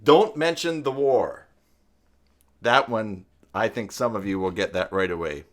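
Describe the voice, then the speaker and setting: A man, chatting casually as a live stream host in a halting, rambling way. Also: fairly low pitch. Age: 50s. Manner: rambling, halting, conversational, thinking aloud. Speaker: live stream host, web tutorial presenter.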